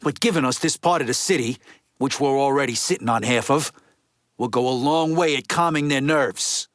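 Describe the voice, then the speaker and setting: A gruff American mobster from a video game, voiced by a male actor, speaking in a medium register. He is blunt, streetwise and resentful, with profanity worked into his talk. The recording is dry, with no room around the voice. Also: medium pitch, normal speed, no room sound, lightly acted. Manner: blunt, streetwise, heavy swearing.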